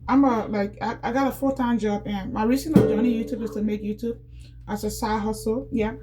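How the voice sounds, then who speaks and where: A woman's voice: a little high, fairly even intonation, fairly quick. A woman chatting casually in a home how-to vlog, repeating herself and calling her viewers guys. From Liberia. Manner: chatty and repetitive, often addresses viewers as guys. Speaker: YouTuber demonstrating cleaning. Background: background music.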